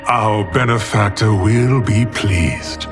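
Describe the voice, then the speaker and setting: An actor playing a smug, ambitious scheming villain in a video game cutscene, measured and gloating. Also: male voice, on the low side.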